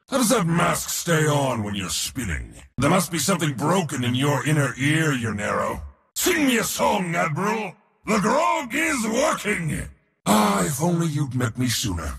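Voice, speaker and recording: A man's voice: a little high, wildly swooping pitch, measured pace, creaky, a bit bright, big-room sound, full character voice. A man voicing an arrogant, mocking war god in a video game, pompous and theatrical with a sardonic edge. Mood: boisterous. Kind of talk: video game character voice lines.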